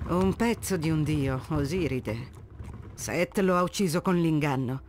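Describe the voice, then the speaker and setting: A woman's voice: deep voice, unhurried, darkish tone, a little hiss or hum. Game role: proud female desert warrior leader, solemn, resolute, giving orders. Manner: commanding, solemn.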